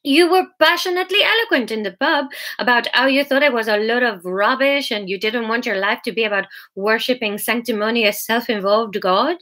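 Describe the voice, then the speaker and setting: A relentless she-devil from a comic storybook, acted out by a woman narrator. She is belligerent and mocking, with a high, sharp-edged voice that argues in exasperated taunts. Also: brisk, few pauses. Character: relentless scornful female devil, mocking and persuasive.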